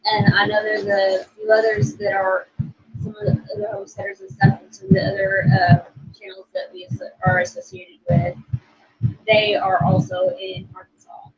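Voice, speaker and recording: A woman's voice: mid-range voice, very animated, moderate pace, slightly rough, highs cut off. A woman from Oklahoma co-hosting a casual livestream, rambling and reading viewer comments aloud. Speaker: livestream co-host.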